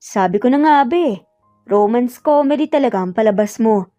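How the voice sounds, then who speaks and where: A woman voicing a teasing, self-assured male employer in a romance story, with an unhurried manner. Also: a little high, lively intonation, mellow, dull audio, faint music behind, close to an everyday voice.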